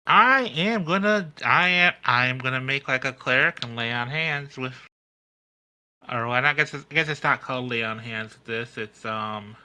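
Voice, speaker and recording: A man's voice: mid-range voice, normal speed, bright, forceful tone, clear, resonant tone. A man playing a tabletop roleplaying game, talking casually and hesitantly as he thinks aloud.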